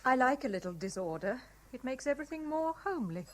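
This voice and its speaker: A woman's voice: sits high, sing-song, fast. An actress playing a dreamy, fragile, well-bred young woman in a 1970s radio drama. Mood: uneasy. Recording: noisy old 1970s radio broadcast.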